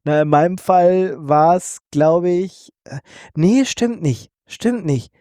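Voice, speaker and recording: A man's voice: high-pitched, lively intonation, slow pace, mellow, no room sound, studio quality. A man co-hosting a casual tech podcast, rambling with many fillers and restarts. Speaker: podcast co-host. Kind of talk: casual tech news chat.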